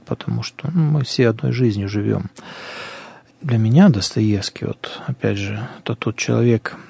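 A man's voice: medium pitch, vocal fry, mellow, no echo. A man who is a priest, talking earnestly and thinking aloud in a conversational religious program.

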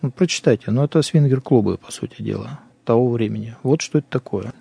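A man's voice: mid-range voice, fast talker, gravelly, dark tone, breathy edge, no room sound. A man who is a priest, talking earnestly and thinking aloud in a conversational religious program.

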